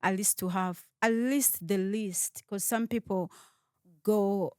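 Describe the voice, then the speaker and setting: Woman calmly explaining step by step. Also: mid-range voice, smooth, no room sound, clean studio sound. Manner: explanatory, measured, with hesitant restarts. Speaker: guest advising on moving to Canada. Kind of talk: conversational interview answers.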